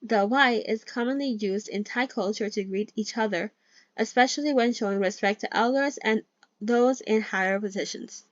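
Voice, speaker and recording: A woman's voice: on the high side, moderate pace, no room sound. Brief: a woman, non-native English, hosting a chatty novel read-along; trips over words and restarts, on a dull lo-fi mic. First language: not English. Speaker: channel host reading a novel aloud.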